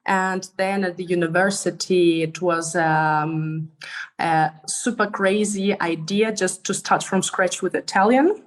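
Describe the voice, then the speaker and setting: A woman in her thirties with a Belarusian accent speaks in a fairly low voice. Russian is her first language, and she tells her story in an interview with lively fillers and easy laughs. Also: a little slow. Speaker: interview guest. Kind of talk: conversational interview.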